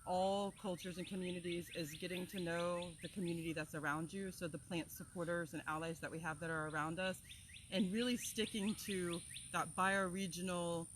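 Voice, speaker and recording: Florida woman, herb teacher, talks fast and passionate outside, faint birds and insects around. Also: fairly low pitch, slight background noise. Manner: energetic, passionate and talkative. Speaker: herbal teacher and co-host. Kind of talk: casual talk to open an outdoor class. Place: outdoors among plants, with birds and insects.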